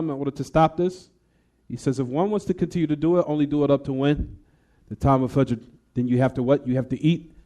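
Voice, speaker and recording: A man teaching a hadith class. He keeps asking the room questions and answering them himself, and he recites Arabic quickly between plain explanations. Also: on the high side, mellow. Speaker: Islamic teacher.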